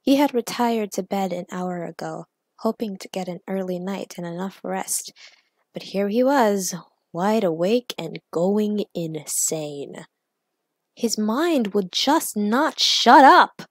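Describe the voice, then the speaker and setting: A woman narrating fiction aloud in an expressive, measured, dramatic storytelling style. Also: medium pitch, no echo, studio quality. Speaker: narrator and author of fan fiction.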